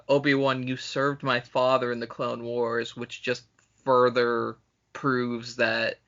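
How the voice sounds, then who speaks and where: A man's voice: mid-range voice, pushed and bright, muffled recording. A man co-hosting a podcast in casual film chat, talking in an emphatic, sweary way. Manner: profane, emphatic. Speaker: podcast host.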